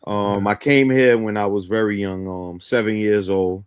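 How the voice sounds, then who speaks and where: A man's voice: fairly low pitch, steady tone, moderate pace, clean tone. A man from Guyana who grew up in Brooklyn, explaining his ideas in a didactic way as a talk show guest. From born in Guyana, grew up in Brooklyn, New York. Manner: didactic, often checks in with "right?". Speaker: guest, teacher of a belief system.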